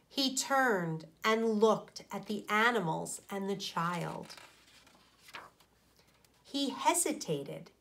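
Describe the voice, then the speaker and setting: A woman, a teacher reading a children's storybook deliberately and expressively. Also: mid-range voice, bright, forceful tone. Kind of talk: storybook read-aloud for children. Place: small indoor room.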